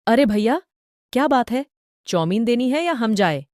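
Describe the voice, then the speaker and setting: A woman's voice: a little high, animated, moderate pace, a little mellow, no echo, studio quality, lightly acted. A woman voicing an impatient customer who complains at a street food cart in a cartoon story.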